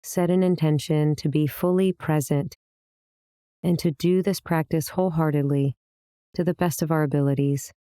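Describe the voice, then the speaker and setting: A woman with a low, smooth voice teaches guided meditation. Her delivery is calm and measured, with gentle instructions. The recording is dry and close, without room sound. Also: dry recording. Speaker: meditation teacher, series host.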